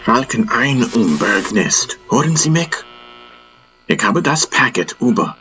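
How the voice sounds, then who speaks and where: A man's voice: mid-range voice, very animated, unhurried, background noise, voice pushed up. A man voicing a stranded soldier pilot in an audiobook, making urgent, clipped, repeated calls into a radio.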